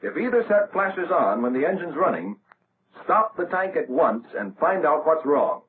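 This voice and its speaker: A tinny, narrow old film soundtrack carries an American man narrating a 1940s army instructional reel. His voice sits fairly high and moves in lively rises and falls. He delivers scripted instructions in a measured, orderly way.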